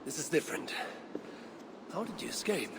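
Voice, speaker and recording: Norse warrior, game drama: terse, earnest, plainspoken, fairly high for a man, noisy ambient background. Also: sing-song, normal speed, vocal fry. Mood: urgent. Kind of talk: dramatic video game acting.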